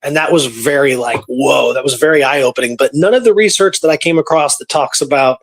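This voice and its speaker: A man's voice: mid-range voice, fairly quick. A man co-hosting a show, talking casually through history and voicing imagined thoughts as he tells it. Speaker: radio show co-host.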